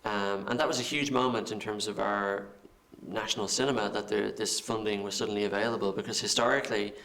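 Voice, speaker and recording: Irish man, low and gravelly, flat delivery, measured, halting interview speech. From Ireland. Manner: halting, repeats words while thinking. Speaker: film director. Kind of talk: interview answers.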